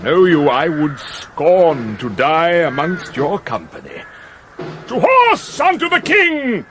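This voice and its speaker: A man's voice: fairly high pitch, wildly swooping pitch, slow pace, heavily acted. A man playing a pompous, heroic crown prince in a radio comedy, declaiming in grandiose mock-archaic speech over a noisy backdrop. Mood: wounded, defiant. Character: pompous heroic young crown prince rallying his army.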